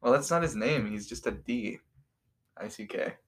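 A woman's voice: a bass voice, normal speed. A young woman co-hosting a podcast, trading playful, mock-dramatic jokes in casual chat with a friend. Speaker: podcast co-host.

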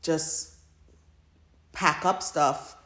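A woman's voice: low-pitched, steady tone, extremely slow, a bit bright, echoey room. A woman co-hosting a podcast in casual chat, recapping stories in an informal, conversational way. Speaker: podcast co-host.

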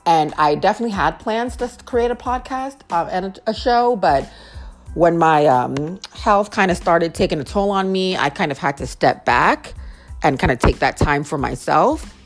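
A young woman hosting her own podcast, talking casually and earnestly, with a naturally low-toned voice and a habit of repeating phrases for emphasis. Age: young adult. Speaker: podcast host. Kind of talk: casual heartfelt monologue.

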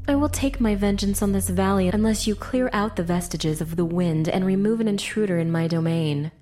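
A woman's voice: medium pitch, a little fast, mellow, faint music behind, lightly acted. A woman voicing a haughty, threatening spirit queen in an audiobook drama, imperious and condescending. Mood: cold bargaining.

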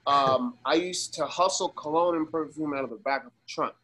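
A man from Las Vegas, ill with pneumonia, talking casually as an interview guest in a loose, halting, slangy way with emphatic repetition. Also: fairly high pitch, sharp tone. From Las Vegas, USA. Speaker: guest, socialist activist.

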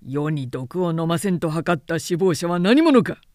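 A man's voice: on the high side, lively intonation, normal speed, darkish tone, no echo, studio quality, lightly acted. A woman narrator voicing a menacing male warlord who questions and commands in mocking, lordly archaic speech in a storybook reading. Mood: interrogating. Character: menacing male warlord, sardonic, imperious interrogator.

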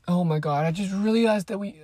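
A woman's voice: mid-range voice, fairly quick, warm tone, dry recording, clean studio sound. An eighteen-year-old woman whose first language is Spanish, chatting casually and rambling to her viewers as a vlogger. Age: teens. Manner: rambling, chatty, drifts off topic. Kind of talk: casual chat to camera.

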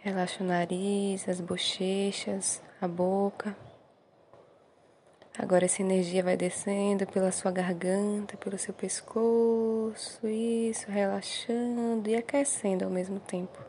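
A slow-speaking Brazilian woman leads a guided meditation. Her delivery is gentle and soothing, with brief words of encouragement between instructions. A faint ambient noise sits behind her voice. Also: mid-range voice, flat delivery. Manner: soothing, gentle, unhurried with short encouraging phrases.

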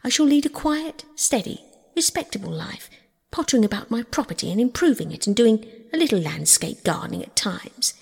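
A husky storybook toad, acted out by a woman, all conceit and excitability. He talks like an old-fashioned country gentleman, swooping widely in pitch, in a space with long reverb. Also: a little fast, full character voice. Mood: eager, placating. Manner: chatty, old-fashioned genteel, dramatic.

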